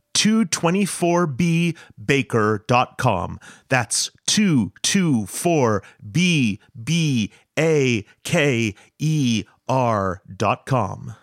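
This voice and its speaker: A man's voice: fairly high pitch, no room sound, clean studio sound. A man reading light, semi-scripted promos as a podcast announcer.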